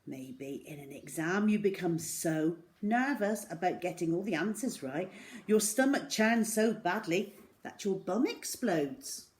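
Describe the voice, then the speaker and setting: Woman doing storytime for kids, takes it slow, super animated up and down voice, dramatic pauses. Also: fairly low pitch. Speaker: children's story reader. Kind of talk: expressive storybook reading aloud.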